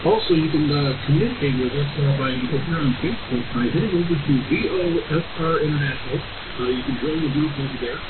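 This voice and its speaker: A man's voice: fairly high pitch, phone-quality sound. Man on hissy shortwave radio. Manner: halting, with frequent uh and pauses. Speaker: radio host. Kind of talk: informal announcements on a shortwave broadcast.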